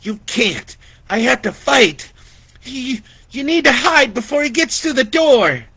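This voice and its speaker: A man voicing a fierce, terrified teenage girl babysitter in a storybook reading, frantic and yelling. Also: very high-pitched, sing-song, measured pace, husky, no echo, voice pushed up, heavily acted. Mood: desperate resolve.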